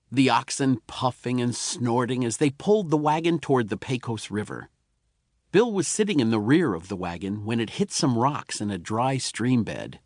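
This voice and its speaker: A man reads a children's tall tale with a folksy, expressive touch. His medium-pitched voice rises and falls with plenty of movement. The sound is close and dry, like a studio audiobook. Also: no echo. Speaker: audiobook narrator. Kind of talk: scripted storytelling narration of a children's tall tale.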